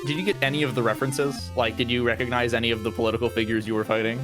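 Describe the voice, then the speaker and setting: A man's voice: mid-range voice, very fast, over music, low-quality recording. A Canadian man hosting a casual chat, asking a player questions about a game. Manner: relaxed, asks questions, laughs easily.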